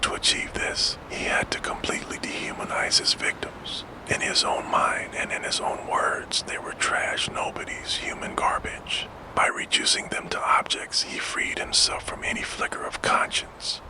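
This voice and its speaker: A man narrating a true-crime story for sleep entirely in a soft, solemn whisper, with measured pacing. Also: low-quality recording. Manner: fully whispered, soft and hushed.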